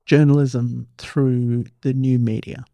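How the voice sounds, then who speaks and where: Man, interview host, gravelly fry, soft dark tone, conversational, dry close recording. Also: mid-range voice, flat delivery, takes it slowly, dry recording.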